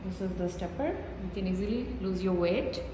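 A woman vlogger giving a slow, simple walk-through of a small indoor gym, filming on her phone and pointing out each machine. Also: medium pitch, background noise. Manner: simple repetitive pointing-out explanations. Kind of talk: casual gym tour vlog. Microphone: phone held while filming. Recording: echoey room with hall reverb.

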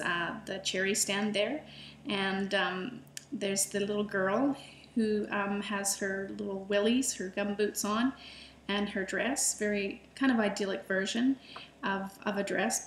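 A middle-aged woman talks to camera, chatty and enthusiastic, often restarting her sentences. Her voice sits at an ordinary pitch. The room around her sounds roomy, with a faint hum of ambience. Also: fairly bright tone. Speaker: illustrator and food vlogger. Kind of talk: casual personal storytelling to camera.

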